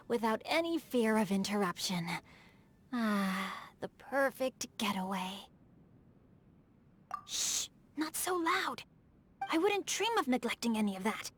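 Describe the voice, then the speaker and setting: A woman voicing a refined, bookish teenage boy in a video game, eloquent, genteel and a little theatrical. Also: a little high. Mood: hushed, courteous. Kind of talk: video game character voice acting.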